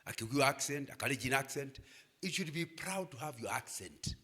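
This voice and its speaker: Animated older Kenyan man preaching. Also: mid-range voice, fairly quick, breathy edge. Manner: animated preaching, asks "Am I communicating?". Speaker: guest speaker.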